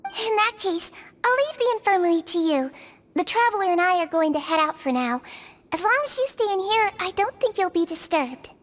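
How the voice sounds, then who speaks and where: A woman's voice: very high voice, lively intonation, fairly quick, a bit bright, long reverb tail, slight background noise. A woman voicing a small, cute, polite and chirpy girlish helper in a game dub. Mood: polite, caring. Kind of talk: English game dub acting.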